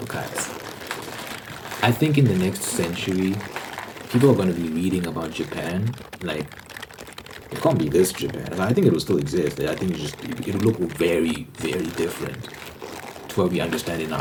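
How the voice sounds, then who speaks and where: A man hosting a rambling, opinionated ASMR monologue, haltingly repeating phrases for emphasis. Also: low voice, background noise. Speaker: ASMR host.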